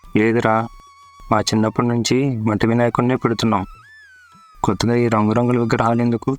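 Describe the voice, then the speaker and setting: A man's voice: medium pitch, completely flat delivery, quick pace, soft background music, natural voice. A man playing a sensible, traditional-minded village uncle in a cartoon story, firm and respectful. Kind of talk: Telugu cartoon story dialogue.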